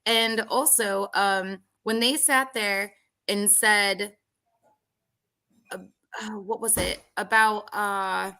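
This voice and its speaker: A woman's voice: mid-range voice, fairly even intonation, takes it slowly, pushed and bright. A woman hosting a casual podcast, rambling with lots of fillers and restarts. She says others call her voice girly. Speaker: podcast host. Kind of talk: casual reality-show commentary.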